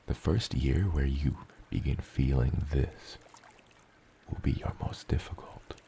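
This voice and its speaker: A man voicing a very deep, gravelly father dragon. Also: mellow, slight background noise, heavily acted. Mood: reflective, wise. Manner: slow, tender, murmuring, often dropping to a whisper. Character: gentle old father dragon, proud and doting. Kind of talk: ASMR roleplay, addressing the listener as his child.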